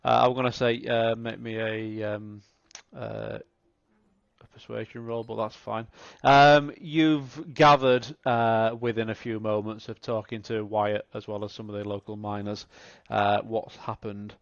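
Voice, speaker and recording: A man running a tabletop game as game master, narrating in a relaxed way with lots of fillers. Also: fairly low pitch, measured pace, gravelly, dry recording, dull audio.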